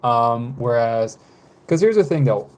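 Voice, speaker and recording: A man in his twenties chatting casually with live viewers, rambling and hesitant, with many fillers, self-corrections and soft laughs. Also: medium pitch, expressive, measured pace. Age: 20s. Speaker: live streamer.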